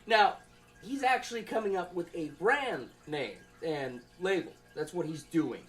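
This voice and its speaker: A young man giving an informal student presentation from notes, in a halting, conversational way. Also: high voice, lively intonation, a bit bright. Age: young adult.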